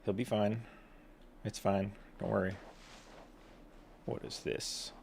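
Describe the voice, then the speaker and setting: Low, creaky-voiced man, dry commentary. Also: flat delivery, slow, darkish tone, a little hiss or hum. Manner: dry, sardonic quips. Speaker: gaming streamer. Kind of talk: casual, offhand game commentary.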